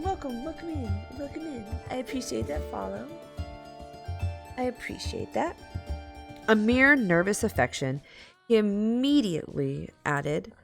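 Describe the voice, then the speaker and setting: Woman streamer reading out loud kinda slow and halting, gravelly fry in her voice, faint music behind. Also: medium pitch. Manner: halting, stumbling over long words. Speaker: livestream host. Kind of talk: dramatic story reading with casual chat asides.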